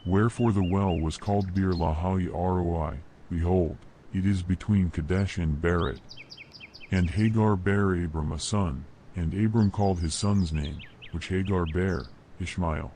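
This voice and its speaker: A man reads scripture as a narrator in a solemn, measured, formal manner. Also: low-pitched, steady tone, warm tone, slight background noise. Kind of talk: solemn scripted Bible reading. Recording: nature ambience behind voice. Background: birdsong and nature sounds.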